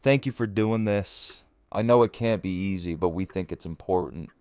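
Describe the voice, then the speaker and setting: A man playing a serious, considerate community leader in an audio drama, earnest and deliberate. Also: low-pitched, darkish tone, clear, resonant tone, no room sound, close to an everyday voice. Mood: grateful.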